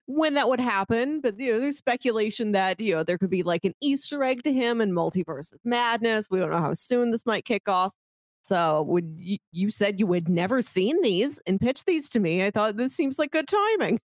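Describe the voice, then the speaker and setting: A woman's voice: mid-range voice, expressive, moderate pace, dry recording. A woman co-hosting a podcast, talking casually in a review chat. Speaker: podcast co-host.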